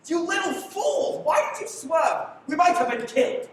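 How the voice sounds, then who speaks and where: A woman's voice: very high-pitched, very animated, moderate pace. A young woman playing a wry man on the run in a live radio play, with dramatic delivery. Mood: urgent. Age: young adult. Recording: echoey, noisy livestream, distant mic.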